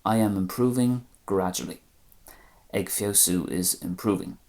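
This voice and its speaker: An Irish man with a very deep voice gives a language lesson to camera. He explains phrases patiently and pronounces each one slowly and carefully. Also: darkish tone. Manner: slow, careful phrase-by-phrase pronunciation.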